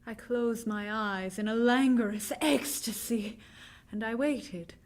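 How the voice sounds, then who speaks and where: A woman's voice: a little high, slow. An actress playing a frightened young Victorian woman, telling her tale dramatically in a vintage radio drama. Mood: entranced dread.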